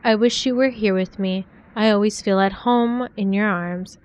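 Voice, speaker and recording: A young woman from the 1940s pours her heart into letters to a secret love, as a woman reads them aloud in a game. Her voice is smooth and clear, of middling pitch, and she reads softly and sincerely. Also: fairly even intonation, moderate pace, highs cut off, lightly acted. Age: young adult.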